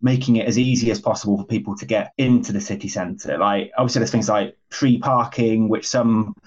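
Husky-voiced male interviewer. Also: on the low side, fairly even intonation, fairly quick. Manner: chatty, rambling questions. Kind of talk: interview questions. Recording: muffled audio.

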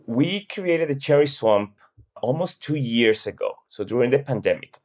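A man's voice: medium pitch, animated, moderate pace, darkish tone. A man from Peru talking casually as an interview guest. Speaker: guest, comedian and satire writer.

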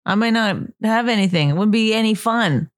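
A woman with a mid-range voice chats casually, cheering on her co-host with quick replies. Her voice sounds close and dry, with no room echo. She talks plainly and easily. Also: mid-range voice. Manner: supportive, frequent backchannel. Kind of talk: casual chat.

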